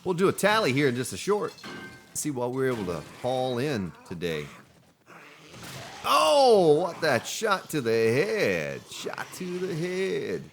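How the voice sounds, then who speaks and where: A man's voice: on the high side, huge pitch swings, slow, faint music behind. A man casually commenting on his gameplay as a let's play host, chatting and joking with the characters on screen. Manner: chatty, jokes at game characters.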